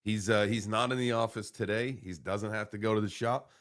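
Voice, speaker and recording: Livestream host: American man, early forties, lower-pitched, laid-back and informal, loose off-the-cuff chat. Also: steady tone, brisk, a bit bright. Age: early 40s. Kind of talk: casual, rambling morning livestream chat.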